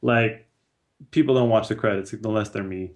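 A man's voice: fairly low pitch, moderate pace. A man in his thirties chatting casually as a guest on a video call. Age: 30s. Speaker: guest, comics artist. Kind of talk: casual chat. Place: small room at home or office. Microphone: video call, headphones.

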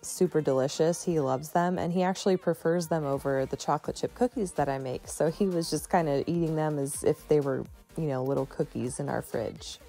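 A stay-at-home mom giving a chatty, relaxed voice-over for her home vlog, often saying "like" and "you know". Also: low-pitched, a little fast, hardly any pauses, over music. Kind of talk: casual voice-over narration.

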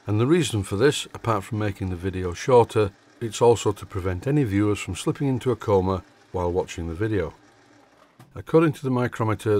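Older Yorkshire man, low-pitched, measured narrator with dry humour. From Yorkshire, England. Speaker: hobby machinist presenter. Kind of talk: scripted workshop voice-over.

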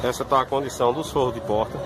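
A man's voice: medium pitch, steady tone, fast. A man, giving a casual, informal walkaround of cars at an outdoor car fair, filmed on a phone. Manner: chatty, informal, repeats "pessoal". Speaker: car vlogger. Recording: outdoor street noise behind. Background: traffic and crowd ambience.

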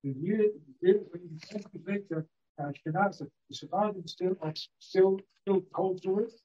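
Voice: mid-range voice, normal speed, dark tone, slightly rough. A member of a study group making a hesitant comment, often repeating words. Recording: faint and distant, muffled.

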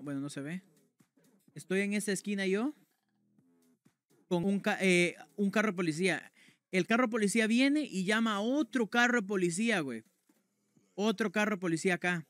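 A man's voice: high-pitched, fairly quick, fairly bright tone, no room sound. A young man streaming from a room at home, casually telling a personal story to his chat in a slangy, conversational way. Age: young adult. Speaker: game streamer.

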